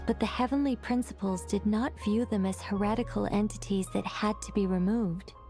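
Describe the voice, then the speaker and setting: Woman as mellow, learned lore keeper. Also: mid-range voice, fairly even intonation, normal speed, soft background music, lightly acted. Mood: calm, explanatory. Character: calm, learned female keeper of ancient lore. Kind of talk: video game voice acting, expository storytelling.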